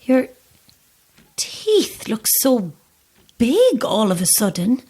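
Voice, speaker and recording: An actress playing a weary, grieving mother, guarded and uneasy, speaking in a subdued, halting way in a horror audio drama. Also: fairly high pitch, sing-song, mellow, slightly rough, full character voice.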